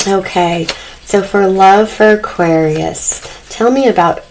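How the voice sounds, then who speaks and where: A woman doing a casual tarot reading to camera, a little husky as she is losing her voice, talking softly and calmly in a dim room. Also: mid-range voice, takes it slowly, poor audio. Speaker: tarot reader. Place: dim room indoors lit by candles. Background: faint noise from loud neighbors upstairs.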